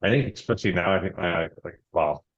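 A man's voice: sits low, a little fast, highs cut off. A man, a company founder talking casually as an interview guest, with a loose, hesitant manner. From Canada, Alberta. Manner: relaxed, many "um"s, trails off with "and stuff".

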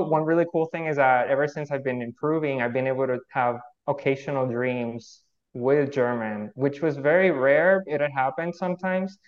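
Spanish-native man on muffled call audio. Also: mid-range voice. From Puerto Rico. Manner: thoughtful, many fillers and restarts. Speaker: guest researcher. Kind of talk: casual explanatory interview.

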